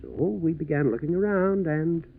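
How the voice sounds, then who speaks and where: An American actor playing a methodical, shrewd district attorney in a 1940s radio drama, probing in a deceptively casual way, on a tinny old recording. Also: male voice, fairly high pitch, animated, normal speed, gravelly, husky, full character voice. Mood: confident, explanatory.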